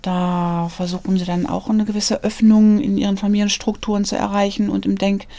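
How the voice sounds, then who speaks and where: Woman guest in an interview, mellow warm tone, earnest and hesitant, thinks aloud. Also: mid-range voice, flat delivery, fairly quick. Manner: earnest, searching for words with many fillers. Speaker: author interviewed about portraits of immigrant women. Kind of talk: thoughtful interview answers. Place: studio, seated at a mic.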